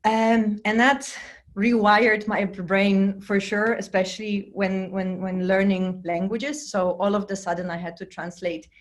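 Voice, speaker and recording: A woman's voice: mid-range voice, little pitch movement, a little slow. A woman in her thirties from Poland, whose first language is Polish, giving an informal online science lecture to students, with lots of fillers and restarts. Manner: conversational, frequent fillers and self-restarts. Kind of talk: recorded virtual lecture. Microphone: computer mic on a video call.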